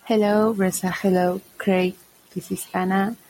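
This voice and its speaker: Mexican woman, non-native English, warm gravelly voice, measured delivery. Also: mid-range voice, level tone, soft background music, rough recording. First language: Spanish. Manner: slow, hesitant, with many pauses. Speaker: listener leaving a voice message. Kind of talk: recorded voice message.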